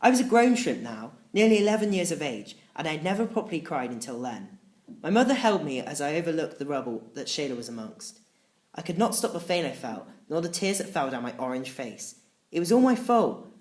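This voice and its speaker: Female children's audiobook narrator, low register, very varied intonation, dramatic and emotive. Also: very animated, fairly quick, a little mellow. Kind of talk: expressive first-person children's story narration.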